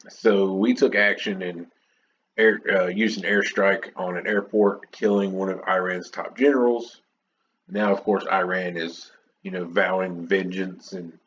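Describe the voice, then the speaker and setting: A man's voice: low voice, muffled recording, slight background noise. A man hosting his own podcast alone, chatting unscripted and opinionated, rambling with many restarts and fillers. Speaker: podcast host. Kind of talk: unscripted solo podcast chat.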